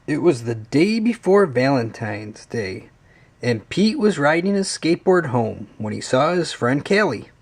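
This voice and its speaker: A man narrating a children's read-along story in an expressive, swooping storytelling manner. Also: fairly high pitch, measured pace, husky.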